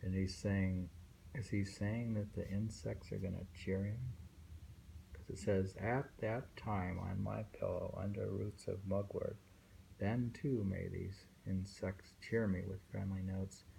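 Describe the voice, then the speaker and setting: Guy reading poems real slow, creaky low voice, kinda musing, hissy background noise. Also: flat delivery, dark tone, low-quality recording. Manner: halting, musing, with pauses and self-questioning. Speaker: reader and host discussing poems. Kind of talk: informal poetry reading with rambling commentary.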